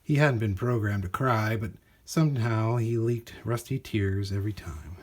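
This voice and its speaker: A man reading a children's storybook aloud in an animated, expressive way with dramatic emphasis. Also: on the low side, moderate pace. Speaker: storybook reader.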